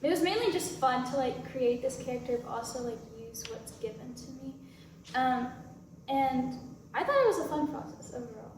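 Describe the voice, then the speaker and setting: Woman actor chatting kinda haltingly, really echoey, clear background noise. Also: high-pitched, a little mellow. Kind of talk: casual Q&A chat. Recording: very echoey room, distant mic, noisy background.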